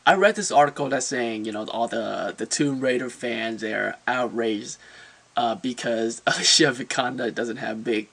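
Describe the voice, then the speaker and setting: A man with a mid-pitched voice hosts a casual review, speaking straight to his viewers. He is informal and opinionated, often doubling back on words as he works out how to phrase his verdict. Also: normal speed, vocal fry, a bit bright, slightly rough. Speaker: movie reviewer, channel host.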